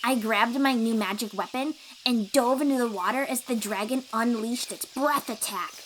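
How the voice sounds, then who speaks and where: A woman playing a cheerful, boastful young sea-dwelling warrior in an audio roleplay, eager and expressive as she talks to the listener. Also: a little high, moderate pace, a little hiss or hum, natural voice, low-quality recording. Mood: dramatic, proud. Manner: eager, bragging, reassuring, expressive storyteller.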